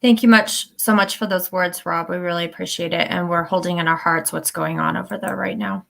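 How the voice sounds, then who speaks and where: Woman interviewer, low gravelly tone, introduces guest. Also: steady tone, brisk, fairly bright tone. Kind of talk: interview hosting.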